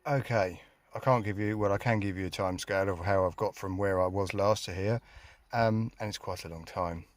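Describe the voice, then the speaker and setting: A man's voice: low voice, steady tone, normal speed, dry recording. A British man chatting casually through a DIY job in a car, rambling with wry, self-mocking grumbles. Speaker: hobbyist filming a car radio install. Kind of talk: casual DIY vlog chat. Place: inside a car.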